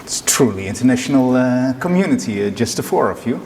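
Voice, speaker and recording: Male interviewer in a reverberant church; halting, friendly questions. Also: mid-range voice, animated, darkish tone. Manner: hesitant, friendly questions with fillers. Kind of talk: church community video interview. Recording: long reverb, faint noise.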